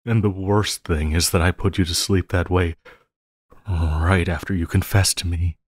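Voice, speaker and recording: A man playing a lovesick, possessive supervillain in an intimate audio roleplay, pleading haltingly and using tender endearments. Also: low-pitched, steady tone, no room sound, clean studio sound. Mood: pleading, remorseful. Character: lovesick, possessive yandere supervillain pleading with his beloved hero.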